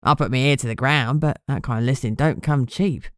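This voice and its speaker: A grubby ghoul informant, played by a woman, speaks low in a broad Cockney accent. He is cheeky and streetwise, full of slang and endearments, in a dry, close audiobook recording. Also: a little fast, no room sound. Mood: wary, bargaining. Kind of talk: audiobook character dialogue.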